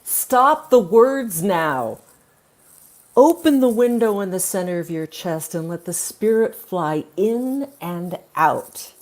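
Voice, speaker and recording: A woman reciting poetry in a spoken-word performance, with measured, deliberate phrasing and long pauses. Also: mid-range voice, lively intonation. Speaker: poetry reader.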